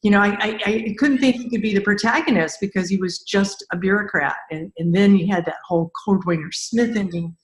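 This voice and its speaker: A woman's voice: medium pitch, brisk, hardly any pauses, reverberant. A woman hosting a conversational podcast interview, speaking in an effusive, enthusiastic way.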